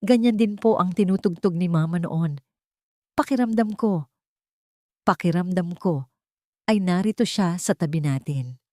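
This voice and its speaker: A woman's voice: fairly low pitch, a little slow, pauses often, dark tone, no room sound, studio quality, natural voice. A woman voicing a fragile, emotional young boy in an audio drama, with a faint, trembling delivery. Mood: tearful longing.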